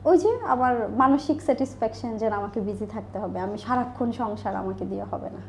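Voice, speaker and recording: A woman's voice: mid-range voice, a little fast, a little hiss or hum. A Bangladeshi woman telling personal stories as an interview guest, talkative, repeating phrases and voicing quoted dialogue. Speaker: interview guest, small business owner. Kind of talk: conversational interview, personal storytelling.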